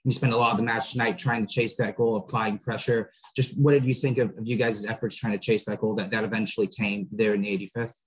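A man asking a coach long, measured questions at a press conference. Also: lowish voice, flat delivery, slightly rough, poor audio. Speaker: reporter.

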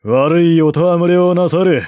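A man voicing a stern, excitable official in a historical novel, loud and formal. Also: fairly high pitch, a little slow, dry recording, clean studio sound, voice pushed up, close to an everyday voice. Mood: relieved reproach.

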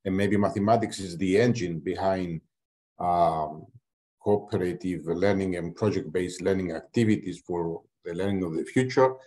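An older man, a professor and guest speaker, opening his presentation on a video call in a measured, polite way with some fillers. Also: low voice, a little mellow. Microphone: computer mic on a video call.

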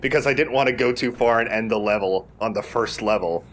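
A man's voice: on the low side, a little fast, a little hiss or hum. A man giving casual, explanatory game commentary with rambling asides. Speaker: let's play commentator.

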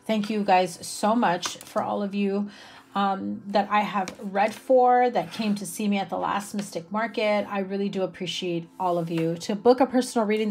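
A young woman giving a casual tarot reading to camera indoors, slightly hoarse from a cough, chatty with many fillers and a soft, reassuring manner. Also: medium pitch, slight background noise. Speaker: tarot reader. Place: indoor room with a candlelit table.

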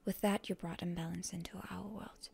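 A woman playing a proud, stubborn young heroine in an ASMR roleplay, in a breathy, often whispery voice with indignant bluster. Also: fairly low pitch, level tone, darkish tone, heavily acted. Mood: stubborn, earnest. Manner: indignant, halting, tsundere bluster. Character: proud, stubborn wounded young heroine, defiant toward her enemy.

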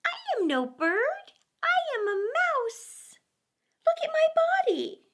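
A woman's voice: extremely high pitch, wildly swooping pitch, slow, a bit bright, voice pushed up, exaggerated voice. A woman voicing a crafty, desperate little female bat, pleading and protesting in a children's story. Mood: frantic protest.

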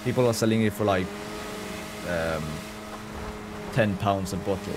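Low-voiced Norwegian man streaming, noisy background. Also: measured pace. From Norway. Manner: casual, swears freely. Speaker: live streamer. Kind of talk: casual gaming livestream commentary, chatting with viewers. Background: game ambience with vehicle sounds.

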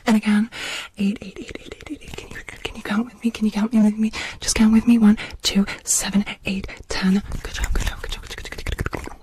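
A woman's voice: mid-range voice, little pitch movement, quick pace, darkish tone. A woman performing ASMR personal attention, repeating short words and phrases in a rhythmic way. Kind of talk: whispered ASMR personal attention role-play.

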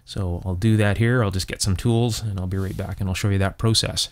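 A man's voice: deep voice, fairly even intonation, fairly quick. A man hosting a hands-on electronics repair demonstration, explaining methodically step by step as he works. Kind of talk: hands-on repair demonstration, talking while working.

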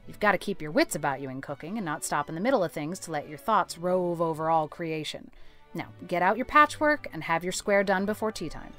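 Storybook guardian: practical older spinster, woman acting, low-pitched, clipped, stern and brusque. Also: lively intonation, a little fast, fairly bright tone, soft background music. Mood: brusque, firm. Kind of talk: audiobook character acting.

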